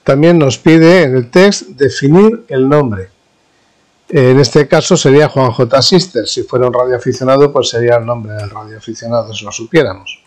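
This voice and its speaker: A man with an accent from Spain gives an informal technical tutorial as an instructor, explaining haltingly and checking in with his listeners. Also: medium pitch, darkish tone. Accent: Spanish (Spain). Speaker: university professor, instructor.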